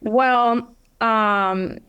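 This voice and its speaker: A woman from Colombia, a campus pastor, introducing herself in a friendly, measured way on a church podcast. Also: on the high side, steady tone, fairly bright tone, clean tone, muffled recording. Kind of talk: casual interview chat.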